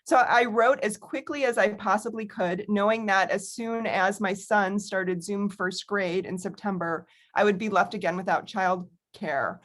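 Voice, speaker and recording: A middle-aged woman with an American accent presents as an author over a video call. Her pitch is average for a woman. She follows prepared remarks but sounds personable and candid, with conversational asides. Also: unhurried, hardly any pauses, fairly bright tone. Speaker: author and academic speaker.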